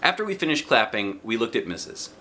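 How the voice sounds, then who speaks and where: A middle-aged American man reading a children's storybook aloud in animated narration. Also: mid-range voice, quick pace. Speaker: children's book reader. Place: living room, indoors. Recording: noticeable background noise.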